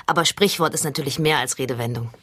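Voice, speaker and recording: A woman's voice: a bass voice, fast, a bit bright, husky, no room sound, full character voice. A woman playing an earnest, naive, stubborn young interviewee in a satirical radio sketch, talking colloquially. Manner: earnest, colloquial, literal-minded.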